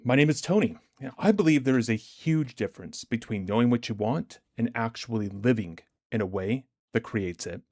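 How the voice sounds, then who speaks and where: A man hosting a livestream as a motivational trading coach, deliberate and emphatic, his chest a little congested from a recent illness. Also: mid-range voice, expressive, a little mellow, no echo, clean studio sound. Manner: deliberate, emphatic, repetitive rhetorical questions. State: chesty, getting over bronchitis, clearing throat.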